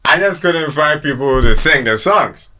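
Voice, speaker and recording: A man in casual chat as a musician guest, telling stories in a jokey, slangy, animated way, with a Ghanaian accent. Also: fairly high pitch, measured pace.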